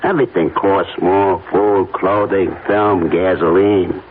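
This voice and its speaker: An actor playing a smug little blackmailer in a radio drama, with oily, falsely apologetic politeness. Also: male voice, deep voice, slow pace. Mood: falsely regretful. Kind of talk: old-time radio drama acting. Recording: tinny, band-limited radio recording, faint noise.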